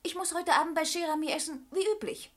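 A woman's voice: extremely high pitch, steady tone, quick pace. An actress playing a young woman, a secretive and playful lover and accomplice, in a German radio play. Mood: conspiratorial.

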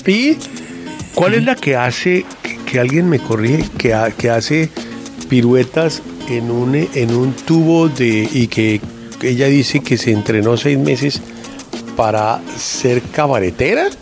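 A man's voice: medium pitch, animated, slow, over music. A man hosting a radio show, chatting casually in a joking, teasing and opinionated way.

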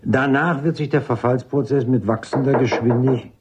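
An actor playing a brisk, authoritative army doctor in a German radio drama, speaking formally and didactically. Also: male voice, mid-range voice, fairly even intonation, background noise, close to an everyday voice. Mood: clinical, assured. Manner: didactic, formal, clipped military address. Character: brisk, authoritative male army psychiatrist with major's rank.

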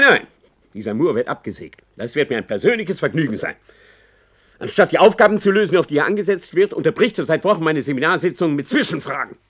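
An actor playing a spiteful, scheming academic in a German radio play, haughty, biting and emphatic. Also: male voice, medium pitch, fairly bright tone. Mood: indignant, spiteful. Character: spiteful, scheming male academic, arrogant and vindictive.

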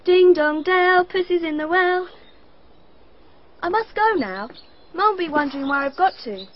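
A woman's voice: very high voice, animated, a little slow. An actress playing an earnest, polite young girl in a radio drama, with an English accent. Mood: playful. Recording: dull lo-fi radio recording with faint noise.

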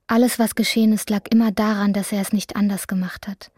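A woman's voice: mid-range voice, level tone, fast, a little mellow, no echo, clean studio sound, close to an everyday voice. A woman narrating a literary radio drama, recounting an anxious man's inner thoughts. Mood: reflective, measured.

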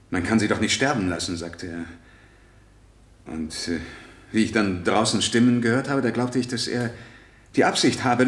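An actor playing an anxious, hesitant husband and father testifying at his trial in a radio play. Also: low voice. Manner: hesitant, halting, searching for words. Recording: echoey room, 1970 radio play.

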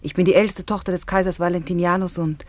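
An actress playing a proud, ambitious middle-aged Roman empress in a German radio play, haughty and accusing. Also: female voice, lowish voice, steady tone, fast, lightly acted. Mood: indignant, proud.

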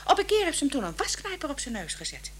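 An actress playing a chatty, scornful, neglected wife in a comic radio play, colloquial and sarcastic, often laughing. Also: female voice, sits high, wildly swooping pitch, fast, a bit bright, slight background noise, heavily acted. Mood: chatty storytelling.

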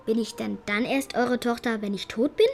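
A proud, cheeky schoolgirl in a radio play. The voice is high, direct and childlike, with faint music in the background. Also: steady tone, moderate pace, vocal fry. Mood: cheeky, insistent. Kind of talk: naturalistic radio drama dialogue.

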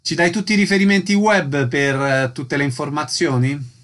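A man's voice: fairly high pitch, fairly even intonation, normal speed, fairly bright tone. A man hosting a radio interview, reading out show details and asking questions. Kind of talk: scripted radio announcements and interview.

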